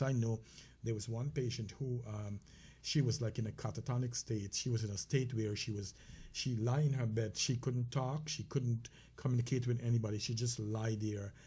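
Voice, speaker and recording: A man's voice: fairly low pitch, warm tone, husky. A man with a Caribbean accent co-hosting a casual health talk show, speaking conversationally with many fillers and restarts. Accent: Caribbean English.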